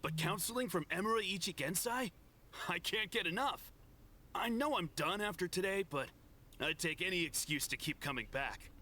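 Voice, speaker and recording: A man's voice: high voice, expressive, moderate pace, sharp tone, a little hiss or hum. A man voicing a snobbish, zealous devotee of a spiritual counselor in a video game. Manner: haughty, zealous.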